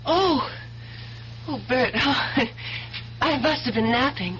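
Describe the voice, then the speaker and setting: Dazed, slow, high-voiced woman. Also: expressive, a bit bright, heavily acted. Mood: drowsy, gentle. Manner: vague, faltering, dreamy. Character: dazed, drowsy, fading young woman, gentle and confused. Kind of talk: 1970s radio drama acting. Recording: dull, hissy 1970s radio recording.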